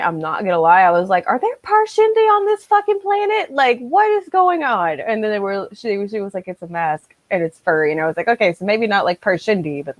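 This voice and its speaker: A woman around her thirties chatting casually on a video call, acting out her reactions and quotes in an animated way. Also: medium pitch, highs cut off. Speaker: co-host of a book discussion. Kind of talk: casual chat. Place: small room at home, on a video call.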